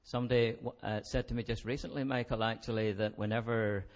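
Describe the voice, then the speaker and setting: A man's voice: on the low side, fairly even intonation, fast. Hesitant interviewer, a man. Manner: hesitant, many fillers. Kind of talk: onstage interview.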